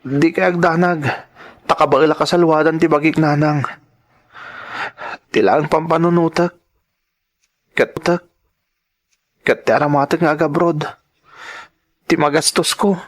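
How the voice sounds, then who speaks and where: Actor doing a humble young farm guy in a radio drama, kinda high voice, earnest and pleading. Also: steady tone, a little slow, pauses often, close to an everyday voice. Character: Reynaldo, earnest humble young man from farming family. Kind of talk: Ilocano radio-drama acting.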